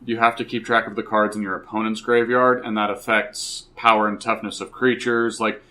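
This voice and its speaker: A man's voice: lowish voice, flat delivery, a little fast, pushed and bright. A man on a podcast panel, explaining things casually in an emphatic, conversational way. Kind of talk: casual chat, explaining game design.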